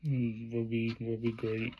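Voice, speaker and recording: Slow, flat-voiced man vlogging. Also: mid-range voice, lo-fi sound. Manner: halting, many fillers and restarts; plain enthusiastic praise in a flat delivery. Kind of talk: casual unboxing vlog.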